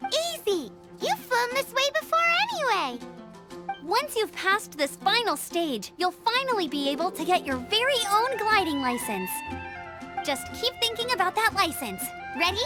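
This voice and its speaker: A woman's voice: very high voice, sing-song, measured pace, full character voice. A woman voicing a spirited, cheerful girl who works as a gliding instructor in a video game. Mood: bright, encouraging. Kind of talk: English video game dialogue. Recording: game music behind the voice. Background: game music.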